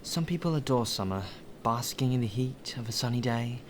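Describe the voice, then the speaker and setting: A man's voice: mid-range voice, expressive, normal speed, lightly acted. A man playing a polite, deferential butler in an ASMR roleplay, with formal, flowery phrasing. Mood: polite, chatty. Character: courteous, well-spoken male butler, deferential and appreciative. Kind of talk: ASMR roleplay acting, addressed to the listener. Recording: rain ambience and clear background noise.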